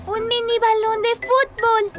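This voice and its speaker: A woman's voice: extremely high pitch, level tone, a little slow. A woman voicing a cheerful, helpful little girl in a children's cartoon dub, with music playing underneath. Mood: determined.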